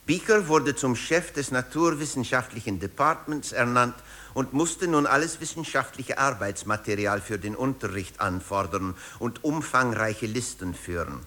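Male narrator, lowish register. Composed, explanatory reading of a scripted satirical feature. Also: bright, forceful tone.

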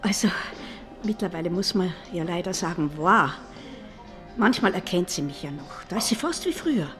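An old Austrian grandmother in a radio drama. She chats in a confiding, plaintive way with a highly varied melody. Soft music sits faintly behind her. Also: expressive, normal speed. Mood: wistful. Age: older. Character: chatty, sentimental old Viennese grandmother. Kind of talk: naturalistic radio drama acting.

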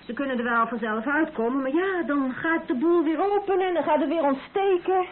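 An actress playing a caring pregnant wife and nurse in a naturalistic radio play, gently teasing and fussing affectionately. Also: female voice, sits high, brisk, natural voice. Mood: hesitant, serious. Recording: tinny, noisy old radio play recording.

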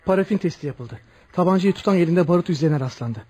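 A man acting an urgent junior policeman in a radio drama, reporting briskly and deferentially to his superior. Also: high voice, lively intonation, dark tone, breathy edge.

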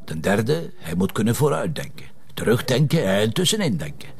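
A man's voice: lowish voice, big pitch swings, normal speed, creaky, a little mellow, slightly rough, heavily acted. A man playing a stubborn, sarcastic police detective in a crime drama, with a Flemish Belgian accent and a confrontational, cocky manner. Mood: mocking. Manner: sardonic, confrontational. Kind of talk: naturalistic TV crime drama acting.

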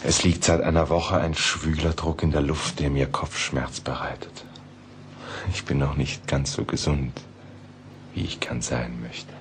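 Low-pitched refined gentleman, measured, contemplative, polite, literary radio drama, faint background noise. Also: flat delivery. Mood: weary, confiding. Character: cultured, reflective Parisian gentleman sketching and writing letters. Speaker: narrator and character. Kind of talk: literary radio play narration and dialogue.

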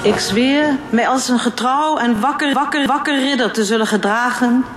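A woman's voice: sits high, normal speed. A woman reciting a solemn oath. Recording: echoey, with music behind.

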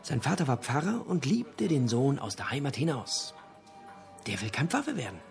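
An actor playing a talkative literature buff in a radio drama, lecturing theatrically in pithy, punchy fragments. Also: male voice, medium pitch, big pitch swings, normal speed, vocal fry, faint music behind. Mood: relishing, theatrical. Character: talkative literature buff holding forth in a pub.

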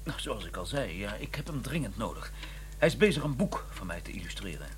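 A man's voice: lowish voice, sing-song, a little fast, slight background noise. A man playing a courteous, persistent middle-aged academic in a radio drama, with a polite, formal and insistent manner. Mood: polite, flustered. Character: courteous, persistent Cambridge academic, donnish and easily affronted. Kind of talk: radio drama acting with first-person narration.

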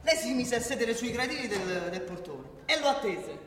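Casting: male actor as satirical storyteller for radio drama, declamatory, indignant, highly animated delivery, reverberant room with faint noise. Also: moderate pace, full character voice. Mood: sardonic storytelling. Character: male satirical narrator, indignant at corrupt politics. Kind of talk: theatrical storytelling monologue for radio theatre. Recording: echoey hall, faint noise.

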